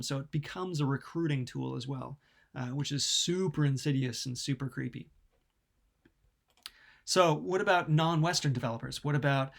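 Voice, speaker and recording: A man with an American accent giving a recorded lecture, casual, with many ums and restarts. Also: medium pitch. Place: New Zealand.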